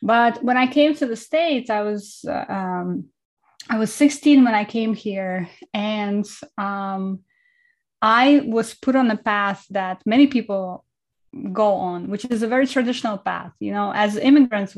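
A woman in her thirties, from Kazakhstan and raised in Estonia, speaking reflectively as a podcast guest over a video call. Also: mid-range voice. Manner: reflective, conversational, with frequent fillers. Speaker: podcast guest, travel photographer.

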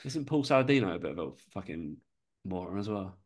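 A man's voice: low voice, big pitch swings, brisk, crowd noise. A man co-hosting a podcast, chatting casually and swearing freely, with a slightly nasal voice from a lingering cold. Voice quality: sweary, laughs often. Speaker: podcast co-host. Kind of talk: casual chat.

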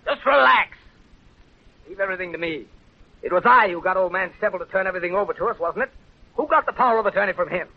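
An actor playing a formal, controlling, scheming big-city lawyer in a 1930s radio drama. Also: male voice, high voice, lively intonation, quick pace, gravelly, full character voice. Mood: scheming impatience. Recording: dull, muffled 1930s radio broadcast.

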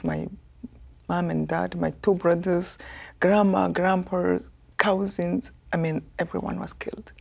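A woman from Rwanda in her fifties with a Rwandan accent, telling her life story as a guest in an earnest, soft-spoken way. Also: lowish voice, creaky. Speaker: guest, author.

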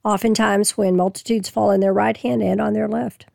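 Woman Bible teacher, hoarse and folksy, reads verses slowly, gravelly voice. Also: mid-range voice, little pitch movement, a little mellow, no echo, clean studio sound. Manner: folksy, reads scripture slowly then explains plainly. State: losing her voice. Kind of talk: casual Bible teaching with scripture reading.

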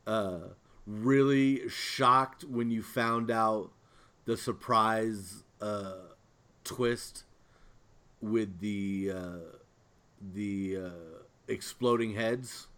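A man's voice: lowish voice, fairly even intonation, drawn-out words, a bit bright, smooth. A man hosting a podcast in casual chat with friends, informal and given to swearing. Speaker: podcast host.

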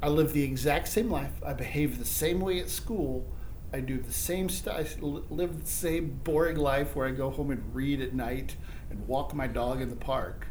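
A man in his forties with a Midwestern American accent, a high school teacher co-hosting a casual chat and thinking his ideas through aloud with many restarts. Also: moderate pace, a little mellow, a little hiss or hum, low-quality recording. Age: 40s. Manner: thoughtful, musing, many restarts.

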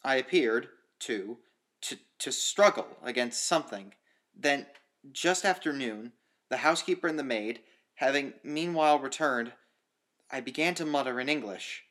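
A man with a medium-pitched voice narrates classic horror fiction. His reading is formal and literary, delivered in a measured way as scripted audiobook narration. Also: lively intonation, a bit bright.

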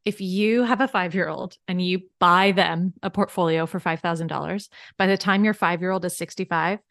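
A woman's voice: lowish voice, no room sound, studio quality. A woman financial coach, a podcast guest, who explains things eagerly and step by step with worked examples. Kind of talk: casual podcast interview.

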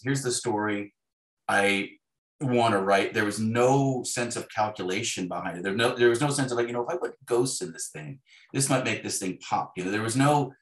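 A man's voice: lowish voice, a bit bright. A man talks reflectively in an interview. He feels his way through sentences, restarting and rephrasing as he goes. The sound is noticeably roomy and echoing. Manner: hesitant, self-correcting, thoughtful phrasing with restarts. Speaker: interview guest, novelist.

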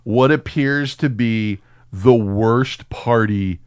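A man's voice: medium pitch, takes it slowly, darkish tone, clear, resonant tone, no echo, clean studio sound. A man co-hosting a podcast in casual, joking chat, with a profane, hyperbolic way of talking. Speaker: podcast co-host.

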